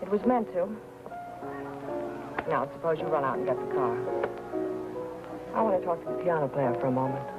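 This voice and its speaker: An American actress playing a cool, flippant wealthy wife in a 1950s crime drama, breezy and condescending. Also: female voice, sits low, animated, moderate pace, long pauses. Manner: breezy, condescending, coolly dismissive. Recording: dull old soundtrack under loud music.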